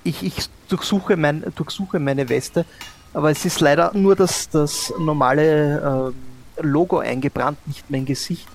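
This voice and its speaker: Bumbling shopkeeper played by a man, fairly high male voice, hesitant and stammering, improvised audio play, faint noise. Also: fairly even intonation, measured pace. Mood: flustered. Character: flustered adventurous male cork-shop owner in a vest.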